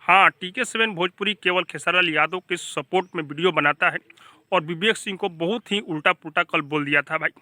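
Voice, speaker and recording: A man with a Bhojpuri accent hosts an informal gossip news update. His voice is high and strikingly bright, recorded very dry. He talks emphatically and directly to his viewers. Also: a little fast, no room sound. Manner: emphatic, repeatedly addressing viewers as brother. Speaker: YouTube channel host. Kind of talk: informal gossip news commentary to camera or voice-over.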